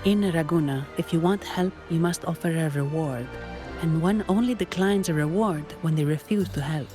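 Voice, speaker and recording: A woman voicing a wry young businesswoman caught up in an investigation, brisk and matter-of-fact, over game music. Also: low voice, lightly acted. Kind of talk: game cutscene voice acting.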